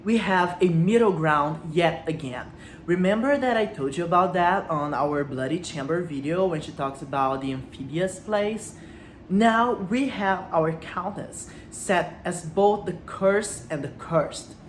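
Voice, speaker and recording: A woman's voice: lowish voice, lively intonation, a little slow. A woman giving literary commentary as a video host, speaking reflectively and posing rhetorical questions to her listeners. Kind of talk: literary commentary with read-out quotes. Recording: roomy echo, faint background noise.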